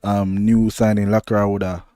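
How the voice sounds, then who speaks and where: A young Jamaican man talks football in a loose, easygoing patois, drifting from one point to the next. His voice sits low and mellow, recorded dry and close with no room around it. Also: flat delivery, moderate pace, no echo. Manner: laid-back, rambling, loose patois phrasing. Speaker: podcast co-host. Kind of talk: casual football chat.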